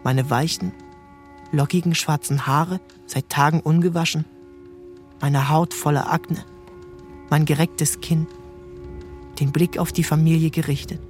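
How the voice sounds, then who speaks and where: A young actor narrating in first person as a troubled young man in a literary radio drama, calm and measured. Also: a little high, faint music behind, lightly acted. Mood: reflective. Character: troubled young man looking back on his youth.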